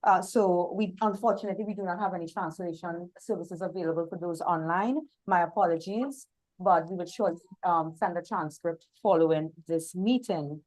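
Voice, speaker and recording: A woman's voice: lowish voice, moderate pace, darkish tone, poor audio. A woman with a Trinidadian accent, moderating a hybrid panel session and introducing the speakers formally, with some fillers. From Trinidad and Tobago. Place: outdoor hot venue, hybrid session.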